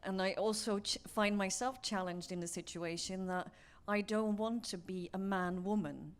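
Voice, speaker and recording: A woman's voice: mid-range voice, moderate pace, smooth. A woman from Belgium runs a conference panel in a mid-range voice. She explains studies steadily and often backs up the other speakers. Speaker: panel moderator.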